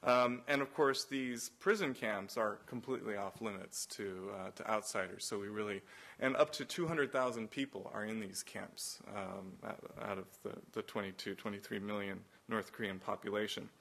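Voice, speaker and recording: A man's voice: on the low side, normal speed, vocal fry, a bit bright. A man giving an anecdotal symposium talk with slides as a nonprofit director, loose and full of fillers and restarts. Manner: acts out quoted exchanges in raised voices. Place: college symposium room.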